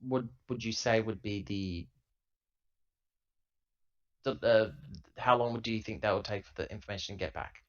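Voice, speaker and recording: A man playing a tabletop roleplaying game, improvising casually and thinking out loud in a rambling way, on a lo-fi laptop recording. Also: fairly low pitch, moderate pace, long pauses.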